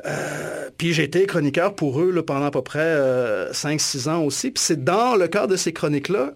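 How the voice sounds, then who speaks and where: A man's voice: fairly high pitch, lively intonation, moderate pace, gravelly. A man with a Quebec French accent, an author and academic being interviewed, talking thoughtfully and often restarting his sentences. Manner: thoughtful, many restarts and hesitations. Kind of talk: reflective interview talk.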